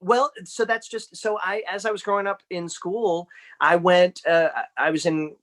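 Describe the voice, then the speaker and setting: Male guest from Ohio, somewhat high-pitched, friendly and rambling. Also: fairly even intonation, a little slow, fairly bright tone. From Ohio, USA. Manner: friendly, rambling, restarts sentences. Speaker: podcast guest. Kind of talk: casual interview chat.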